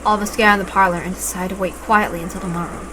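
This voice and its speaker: Woman reading out a visual novel, expressive storytelling, normal pitch, kinda noisy background. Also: rapid-fire. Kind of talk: dramatic first-person story narration. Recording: noticeable background noise.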